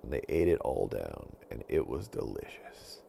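A male storyteller narrates a children's bedtime tale. His voice is low and very creaky, and it rises and falls dramatically as he tells it. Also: sing-song, normal speed, mellow, slight background noise. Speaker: storyteller, podcast host.

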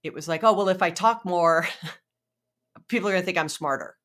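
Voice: mid-range voice, moderate pace, a bit bright. An interview host asking long, rambling questions with bits of laughter.

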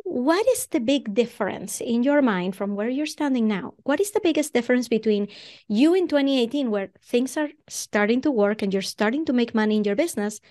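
Venezuelan-accented woman host, kinda high voice, asks long rambling questions. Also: expressive, a little fast, darkish tone. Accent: Venezuelan Spanish. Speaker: podcast host. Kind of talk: conversational interview.

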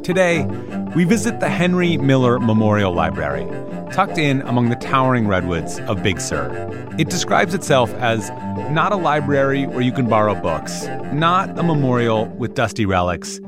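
A man hosting and narrating a podcast, reading a script with playful, expressive emphasis. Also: medium pitch, normal speed, over loud music.

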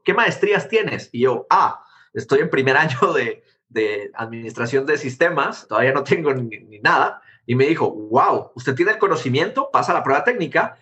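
A man from Guatemala in his thirties, an interview guest telling his story in a quick, animated conversational way. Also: mid-range voice. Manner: animated, quick, jumps between anecdotes. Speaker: interview guest, tech founder.

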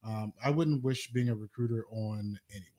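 A man's voice: fairly low pitch, moderate pace, mellow. A man talking as a podcast co-host, blunt and matter-of-fact about his military service. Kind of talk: casual podcast chat.

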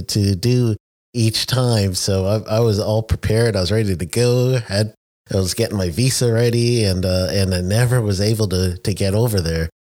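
A man's voice: on the low side, a little mellow, no room sound. A man from the United States interviewing a guest in a friendly, chatty way, laughing easily. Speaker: interviewer, safety trainer. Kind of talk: conversational interview.

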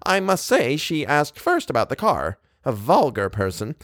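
A man's voice: a little high, wildly swooping pitch, brisk, no room sound, clean studio sound, voice pushed up. A man voicing a haughty, bossy older wife in an audiobook, with an imperious, emphatic, self-important manner. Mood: scolding, self-important. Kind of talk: audiobook character voice.

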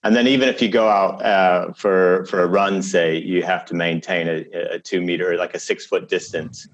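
A man from Chicago, a guest coach, chatting in a relaxed way with many restarts and fillers. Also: deep voice, moderate pace, highs cut off. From United States, raised in Chicago. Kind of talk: casual interview chat.

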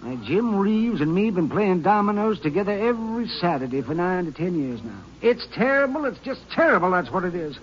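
A talkative small-town druggist rambles on in a high, folksy voice. The old radio recording sounds dull and lo-fi. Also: male voice, very animated, a little fast, few pauses. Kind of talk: 1950s radio drama acting.

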